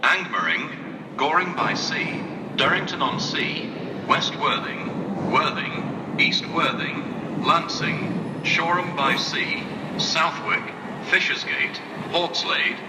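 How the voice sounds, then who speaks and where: A British man makes formal train announcements, slowly and in separate phrases. His pitch rises and falls widely, and the loudspeaker sound rings with long reverb over clear station noise. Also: animated. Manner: formal, segmented list reading with varied intonation. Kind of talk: scripted public address train announcement. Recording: reverberant distant station tannoy, noisy.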